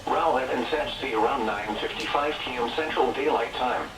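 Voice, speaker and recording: A synthetic male text-to-speech voice reading scripted emergency weather warnings in a measured, impersonal, item-by-item way over weather radio. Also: medium pitch, background noise. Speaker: automated weather radio alert reader.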